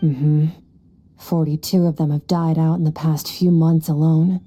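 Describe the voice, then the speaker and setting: A woman's voice: sits low, little pitch movement, a little slow, warm tone, slight background noise. A woman playing a cold, aloof and powerful young female warrior in a video game, curt, detached and condescending. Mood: grave, detached.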